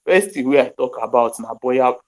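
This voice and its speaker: A man's voice: medium pitch, animated, normal speed. A young man from Nigeria with a Nigerian accent, hosting a podcast over an internet call and chatting casually in pidgin and English with Yoruba phrases, joking as he goes. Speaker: podcast host.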